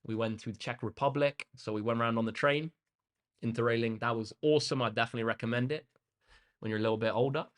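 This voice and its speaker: A man's voice: mid-range voice, fast talker. Laid-back young man chatting. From England. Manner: relaxed and chatty, with frequent ums and uhs. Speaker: maths tutor hosting a livestream. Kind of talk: casual chat answering live comments. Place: indoor room facing a big window.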